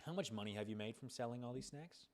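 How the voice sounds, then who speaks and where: A stern American man put on by a prank caller leans on a child with officious legal threats. He presses his points and repeats them, in a mid-pitched voice. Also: quick pace, a little hiss or hum, close to an everyday voice. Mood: officious, pressing. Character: stern, bullying adult threatening a kid with lawsuit. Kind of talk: prank phone call.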